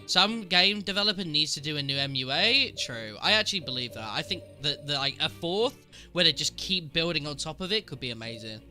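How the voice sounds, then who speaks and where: A man's voice: fairly high pitch, lively intonation, fairly quick, pushed and bright, faint music behind. A man with a cold and a sniffly voice, chatting casually with his stream viewers while answering game trivia aloud. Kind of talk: casual live-stream commentary.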